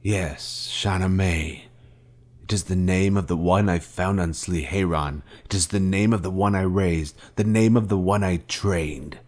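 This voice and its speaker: An actor playing a vengeful fallen Jedi master in a video game, cold and commanding, with grave, deliberate, formal speech. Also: male voice, low voice, darkish tone. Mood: grave, accusing. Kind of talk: scripted video game villain dialogue.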